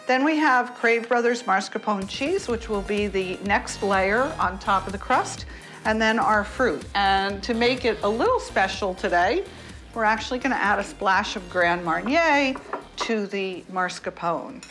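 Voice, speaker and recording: A woman's voice: medium pitch, expressive, unhurried, a bit bright. A middle-aged woman hosting a cooking show, explaining each step in a home kitchen. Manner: explanatory, friendly instruction. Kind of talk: cooking demonstration. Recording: music bed underneath.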